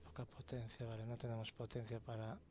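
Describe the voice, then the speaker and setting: A young man with a Spanish accent talks through a horror game, casual and profane, often sinking to a hushed whisper. His voice sits at an everyday middle pitch over a clear bed of game ambience noise. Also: monotone, fairly quick. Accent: Spain. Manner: swears casually, drops to whispers when sneaking. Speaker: let's play host. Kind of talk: gameplay commentary.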